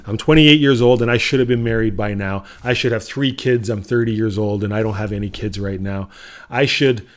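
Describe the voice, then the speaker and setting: A man co-hosting a podcast, asking probing questions and encouraging his partner with steady affirmations. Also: fairly low pitch, brisk. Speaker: podcast co-host. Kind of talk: interviewing co-host in casual chat.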